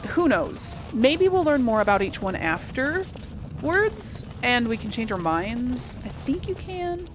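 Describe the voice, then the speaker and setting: A woman's voice: a little high, big pitch swings, slow pace, noisy background. A woman hosting a casual gaming let's play, thinking aloud in a hesitant, conversational way. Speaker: gaming video host.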